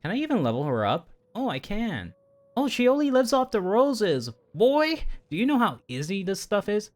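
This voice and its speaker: A man's voice: sits high, wildly swooping pitch, normal speed. A man livestreaming in a casual chat over a game, rambling through his opinions and often repeating himself. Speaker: VTuber livestreamer.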